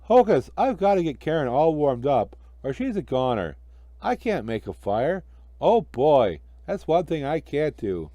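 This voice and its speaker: A man's voice: fairly high pitch, sing-song, a little slow, mellow, no room sound. A man voicing a naive, cheerful talking snowman with an eager, innocent manner in a children's story. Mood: worried. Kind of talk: amateur children's storybook reading.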